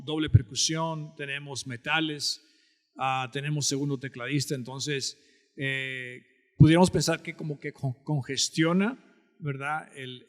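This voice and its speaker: Reverberant Mexican-American man, slightly high voice. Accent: Mexican-American border Spanish. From Texas-Mexico border, United States. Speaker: interview guest, church band director. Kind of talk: conversational explanation. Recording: long hall-like reverb.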